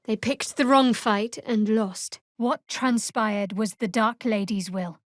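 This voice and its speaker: A woman playing a guarded, devout, dryly sardonic young cleric in a video game, speaking in a measured way. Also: fairly high pitch, steady tone, no room sound, studio quality. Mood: solemn.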